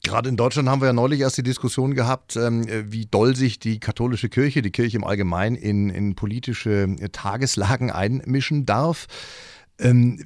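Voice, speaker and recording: A man hosting a podcast, asking brisk questions and thanking his guest. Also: mid-range voice, a little mellow, no room sound, studio quality. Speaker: podcast host. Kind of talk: podcast interview.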